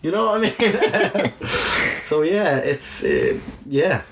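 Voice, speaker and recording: A man's voice: fairly high pitch, animated, slow. A man with a Dublin accent telling personal stories in a candid, informal, sweary way, peppered with "you know". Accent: Dublin Irish. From Ireland. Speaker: interview guest, video maker. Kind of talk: casual personal storytelling. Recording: noticeable background noise.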